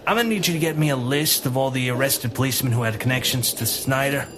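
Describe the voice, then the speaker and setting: An actor playing a weary, wry 1940s police commissioner in an audio drama, dry and grumbling. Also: male voice, mid-range voice, fast talker, noisy background, natural voice. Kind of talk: naturalistic audio drama acting.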